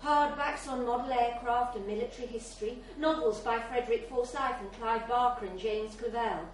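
A woman's voice: fairly high pitch, moderate pace. A woman reading a literary short story aloud as scripted narration at a live event in a bar. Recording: very echoey, distant mic, faint noise.

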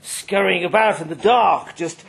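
A man acting a high-pitched, drunken, boastful banker. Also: measured pace, creaky. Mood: crude boasting. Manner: loud, rambling, taunting. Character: drunk, boastful, crude male banker with a mean streak. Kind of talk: live dramatic story reading. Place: live reading at a London venue. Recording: live event recording, faint background noise.

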